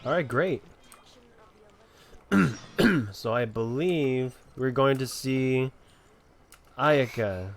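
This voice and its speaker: A man plays a game and comments very slowly in a mid-pitched voice. His manner is casual, offhand and playful.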